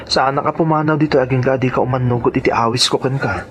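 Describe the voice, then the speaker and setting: A man's voice: medium pitch, fairly even intonation, fast, a little hiss or hum, natural voice. A man playing a pushy suitor who pleads and insists, in a radio drama style. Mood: pleading. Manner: insistent, coaxing.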